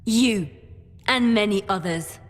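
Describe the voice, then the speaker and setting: An actress playing a shrewd, composed female adviser in a video game, with grave, measured and deliberate phrasing. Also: medium pitch, big-room sound, close to an everyday voice. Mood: cool accusation. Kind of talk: dramatic video game cutscene acting.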